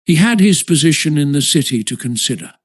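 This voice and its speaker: A man's voice: on the high side, animated, a little fast, slightly rough. A man reading a children's story as scripted audiobook narration.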